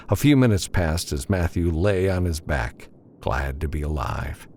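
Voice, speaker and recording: Deep, gravelly male audiobook narrator. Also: a little mellow, a little hiss or hum. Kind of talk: scripted fiction narration.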